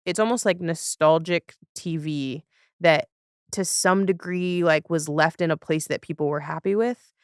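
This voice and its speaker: A woman's voice: sits low, smooth, no room sound, studio quality. A woman hosting a casual podcast conversation, chatty and rambling in the way she talks. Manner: chatty, rambling, quick to laugh. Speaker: podcast host.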